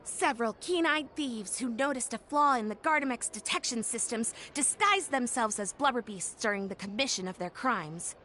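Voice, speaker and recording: A woman voicing an enthusiastic young female tech inventor in a video game, with an upbeat pitchman's patter. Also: lively intonation, normal speed, few pauses, pushed and bright, slight background noise. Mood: explaining. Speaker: game character.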